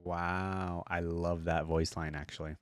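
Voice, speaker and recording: A man's voice: deep voice, level tone, measured pace, over music. A man doing casual, chatty reaction commentary, sometimes reading aloud in an informal, enthusiastic fan style. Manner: chatty, informal, easily impressed fan talk. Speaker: YouTube reaction host.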